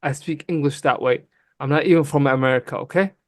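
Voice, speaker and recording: A man's voice: a little high, dry recording, clean studio sound. Emphatic Somali-speaking man, arguing hotly. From Canada. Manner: emphatic, fast, calls people bro. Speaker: guest on a livestream debate. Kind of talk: heated casual argument.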